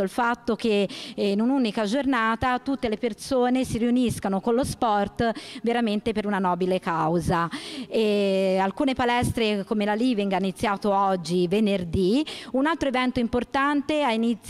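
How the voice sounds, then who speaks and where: A woman speaking for the organizers of a charity sports event, explaining it in an earnest, careful way into a handheld mic in a large gym hall. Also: medium pitch.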